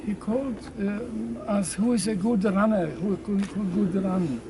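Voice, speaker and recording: Gravelly old man. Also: high-pitched, measured pace. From Poland. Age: 80s. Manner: hesitant, repeats words, searches for phrases. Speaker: survivor interviewee. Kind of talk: halting spoken testimony. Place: outdoors at a memorial site. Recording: outdoor noise in background.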